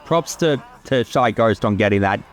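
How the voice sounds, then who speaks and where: A man's voice: fairly low pitch, expressive, moderate pace. A man giving casual, explanatory creator commentary, sometimes sweary, with soundtrack music behind him. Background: film soundtrack music.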